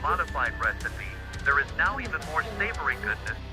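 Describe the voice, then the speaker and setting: Lively male advert voice over music. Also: fairly high pitch, fairly quick. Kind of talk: scripted advertisement narration. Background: prominent music.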